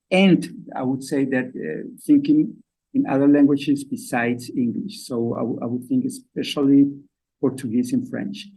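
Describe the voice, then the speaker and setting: Halting, husky-voiced man with a mellow tone. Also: mid-range voice, rough recording. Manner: halting, repeats words, measured. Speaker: trade agency director. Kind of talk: business interview.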